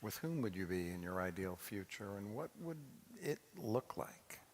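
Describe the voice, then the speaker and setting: A man giving an informal sermon, conversational and reflective, posing questions to his listeners in a husky voice. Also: fairly low pitch, very animated, normal speed, slight background noise. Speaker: congregation leader commenting on the reading. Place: large reverberant temple hall. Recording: long hall reverb.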